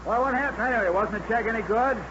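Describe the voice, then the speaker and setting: High-voiced, chatty American factory foreman. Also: fast. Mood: uneasy, helpful. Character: chatty, obliging male aircraft plant foreman, ordinary working guy. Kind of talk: 1950s radio drama acting. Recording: muffled old radio, noisy factory behind.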